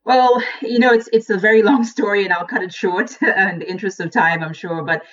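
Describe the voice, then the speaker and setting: A woman in her forties from Ghana, a guest speaker and coach, giving thoughtful, unhurried interview answers and often restarting her words. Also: medium pitch, muffled recording.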